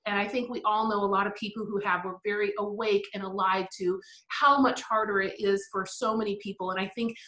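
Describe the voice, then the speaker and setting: Middle-aged woman guest, animated and laughing a lot, bright voice, echoey room. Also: mid-range voice, fairly quick, few pauses. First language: English. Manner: animated, laughs often, reflective. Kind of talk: interview conversation.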